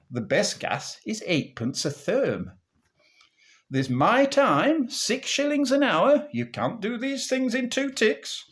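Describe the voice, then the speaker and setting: A man voicing a businesslike, fee-charging doctor in a comic monologue, with a drawn-out, officious manner. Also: sits high, a bit bright, pitched above the performer's own voice, heavily acted. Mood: grave.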